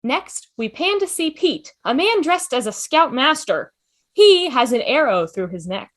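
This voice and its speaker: A woman's voice: sits high, sing-song, a little slow. A woman reading scripted stage directions for an amateur table read, announcing each scene.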